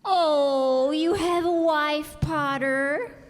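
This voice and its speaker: A woman playing a naively curious, melodramatic carrot lady in a radio comedy, with drawn-out theatrical delivery. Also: high voice, steady tone, soft background music. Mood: curious, coy. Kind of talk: old-time radio comedy acting. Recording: reverberant hall stage recording.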